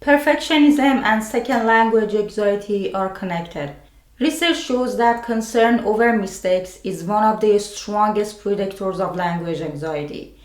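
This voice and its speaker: A woman with a non-native English accent gives scripted self-help advice as a video host, speaking deliberately in short phrases with pauses. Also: medium pitch. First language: a language other than English. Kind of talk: scripted personal explainer to camera. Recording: roomy, echoing sound.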